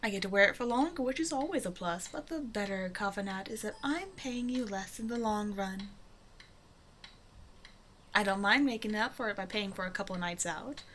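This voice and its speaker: A woman's voice: medium pitch, moderate pace, a bit bright, a little hiss or hum, natural voice. A woman playing a flirtatious, self-assured supervillain in an intimate roleplay audio drama, talking casually and teasingly to the listener. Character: flirtatious female supervillain, a demanding but generous tailor's customer.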